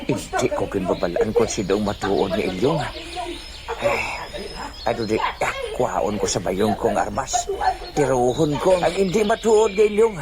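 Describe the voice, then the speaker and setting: A man's voice: medium pitch, sing-song, normal speed, lightly acted. A man playing a puzzled old villager in a comic audio drama, thinking aloud in exclamations. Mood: puzzled, resolving. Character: puzzled old village man Miloy, weighing two lookalikes.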